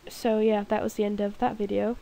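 Young woman with a cold, stuffy nose, rambling alone at home over gameplay, soft dark-ish voice. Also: mid-range voice, little pitch movement, fairly quick, gravelly. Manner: rambling, self-mocking, giggly. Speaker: gaming video creator. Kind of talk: casual gameplay commentary. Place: room at home, alone at night.

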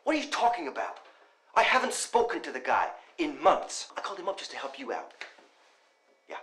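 An actor playing a blunt, excitable male best friend who swears and stammers. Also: a little high, a little fast, echoey room, background noise. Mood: incredulous. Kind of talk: indie drama acting.

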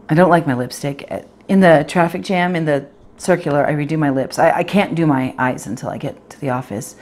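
Actress as fretful, self-critical woman of twenty-eight, low register, confessional stage monologue, direct address. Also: darkish tone, natural voice. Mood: anxious, self-loathing. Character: anxious, self-critical 28-year-old professional woman, obsessive about appearance.

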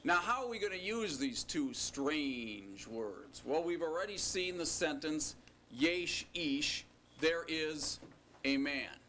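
A man teaching a recorded language lesson, explaining slowly and deliberately with joking asides. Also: a little high, lively intonation, bright, forceful tone, slight background noise. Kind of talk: recorded classroom lecture.

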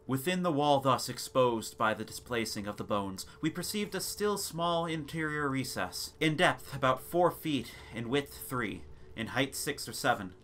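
A man reading a gothic tale as a theatrical, deliberate dramatic narration. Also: medium pitch, animated, fairly bright tone. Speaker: narrator of a gothic short story. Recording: noisy ambience sound bed. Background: background ambience and sound effects.

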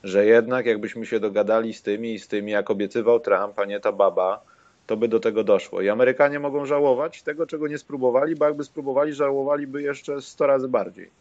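A man of middle pitch hosting a casual podcast, with a sardonic streak and quick joking asides. His voice comes through dull and lo-fi. The sound is dry, with no room around it. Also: steady tone, a little mellow, dry recording. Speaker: podcast host. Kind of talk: casual chat.

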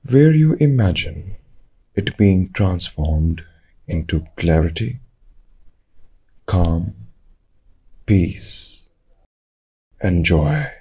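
A man leading a guided meditation, giving calm, measured instructions in short phrases with long pauses. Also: low voice, slightly rough.

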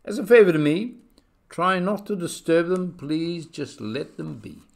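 A man voicing a gentle, protective elephant in a storybook, pleading and sincere. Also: fairly high pitch, animated, unhurried, vocal fry, pitched above the performer's own voice. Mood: earnest pleading.

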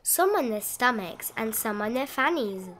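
A woman narrating a rhyming children's bedtime picture book in an expressive, sing-song read-aloud style with drawn-out emphasis. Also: fairly high pitch, a little hiss or hum. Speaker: storybook narrator.

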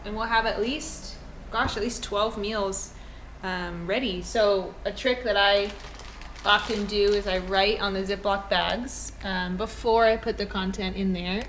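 A woman's voice: medium pitch, fairly even intonation, unhurried, fairly bright tone, a little hiss or hum, rough recording. A young woman chatting casually into a handheld camera, explaining her plans in an upbeat, talkative way, first in a car and then in a kitchen. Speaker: family vlogger. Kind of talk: casual vlog narration. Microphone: handheld action camera at arm's length.

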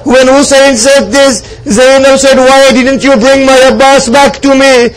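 A man with a strikingly high voice delivers a religious lament as a preacher. He speaks slowly and solemnly, shaping the story with impassioned, plaintive drama. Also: fairly even intonation, rough recording. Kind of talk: mournful devotional sermon storytelling.

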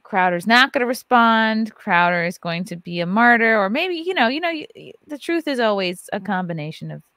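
A woman's voice: a little high, expressive, moderate pace, hardly any pauses, bright, forceful tone, no echo. A woman in her thirties hosting a casual livestream podcast from a home studio, loose and joking, swearing freely. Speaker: podcast host. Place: home studio with neon lights. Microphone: large studio mic on arm. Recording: dry close-mic sound.

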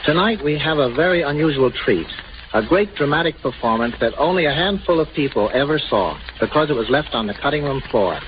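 A man's voice: fairly high pitch, expressive, a little fast. A man presenting a radio show with scripted narration in 1930s style. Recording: noisy, muffled 1930s radio broadcast.

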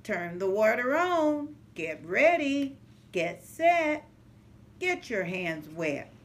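A middle-aged woman reading a children's picture book aloud in a measured, sing-song rhyming way. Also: on the high side, very animated. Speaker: early childhood center manager reading to children. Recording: noticeable background noise, home recording.

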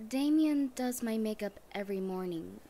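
A woman's voice: a little high, animated, moderate pace, warm tone, a little hiss or hum. A woman playing a shy first-year college girl who is new to goth, in a casual ASMR roleplay. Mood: shy, earnest.

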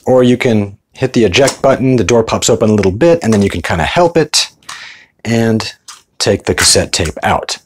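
A man's voice: fairly low pitch, lively intonation, moderate pace, background noise. A middle-aged American man talking informally and conversationally in a casual show-and-tell vlog. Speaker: video host.

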